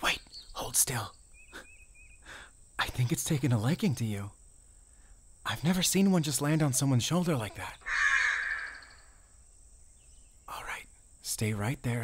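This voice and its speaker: A man playing a friendly, talkative, excitable young forest ranger with an American accent in a roleplay audio drama. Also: mid-range voice, moderate pace, long pauses, slight background noise, lightly acted. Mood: hushed excitement. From Arizona, US. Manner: talkative, eager, rambling, with small chuckles.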